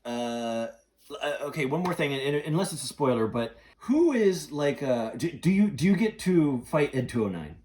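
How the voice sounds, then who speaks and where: A man's voice: fairly high pitch, very animated, normal speed, a little mellow. A man hosting a podcast in casual chat, putting informal questions to his guest and often restarting them. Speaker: podcast host interviewing a guest.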